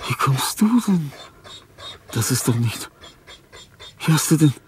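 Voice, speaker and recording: An actor playing a lonely, dying old composer in a radio drama, tender and confused, with a husky, halting delivery that swings between whispers and cries. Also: male voice, mid-range voice, slight background noise, close to an everyday voice. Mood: tender, remorseful. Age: older. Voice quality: gravelly, husky, breathy. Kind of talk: German radio drama acting.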